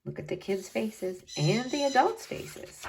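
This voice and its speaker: Lively, expressive woman reading aloud. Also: vocal fry, slight background noise. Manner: expressive, slow dramatic emphasis with wide pitch swings. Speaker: storyteller reading to children. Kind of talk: picture book read-aloud.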